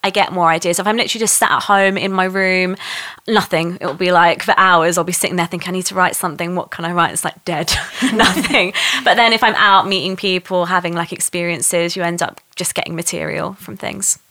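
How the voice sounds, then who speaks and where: A woman's voice: fairly low pitch, steady tone, a little fast, sharp tone. A woman stand-up comedian chatting casually as a guest. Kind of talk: casual chat.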